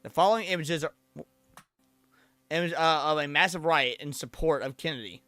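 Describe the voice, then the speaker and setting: Guy streamer reacting, bright punchy voice, swears a lot, rambles his thoughts out loud, real dry sound. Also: a little high, moderate pace, clear, resonant tone, no room sound, soft background music. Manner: crude, profane, opinionated; animated, thinks out loud. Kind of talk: casual reaction commentary, reading on-screen text aloud.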